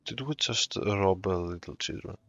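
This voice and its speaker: Male gamer, low creaky voice, unhurried, casual commentary, dry dull close mic, game music behind. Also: crowd noise. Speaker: gamer reacting while playing.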